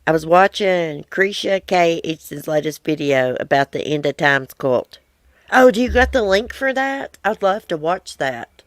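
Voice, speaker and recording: A woman's voice: fairly low pitch, lively intonation, measured pace, dry recording. A woman hosting a casual live chat from her porch, reading viewer comments in a blunt, rambling way, sounding wheezy. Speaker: livestream host. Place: outdoor porch.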